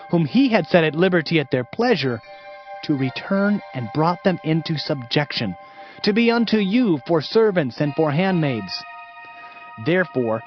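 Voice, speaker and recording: A man's voice: on the high side, close to an everyday voice. A man playing a stern, fervent Old Testament prophet in a declamatory, emphatic scripture reading. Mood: stern, reproachful. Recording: dull audio with music behind.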